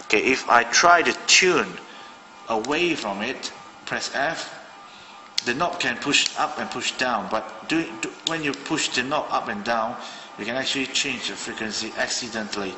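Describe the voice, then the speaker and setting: A man's voice: mid-range voice, moderate pace, long reverb tail, music in the background, rough recording. A man from Malaysia casually demonstrating a radio at his desk, thinking aloud with many fillers. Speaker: hobbyist radio reviewer. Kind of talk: casual hands-on product demo. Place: indoor room at a desk.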